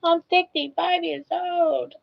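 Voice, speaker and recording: A woman's voice: very high voice, steady tone, takes it slowly, creaky, highs cut off. A woman in her sixties hosting a casual live stream, chatting and joking and talking sweetly to her pets. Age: 60s. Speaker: livestream host.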